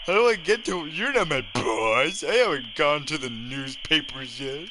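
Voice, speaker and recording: A teenage boy hosting a gaming channel, rambling and joking over his gameplay with loud sudden reactions and self-aware asides. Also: on the high side, expressive, moderate pace. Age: teens. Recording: clear background noise.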